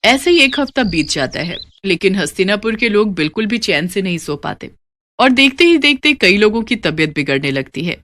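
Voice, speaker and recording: A woman's voice: mid-range voice, big pitch swings, normal speed, a bit bright, no room sound. A woman reading scripted narration for a children's moral story.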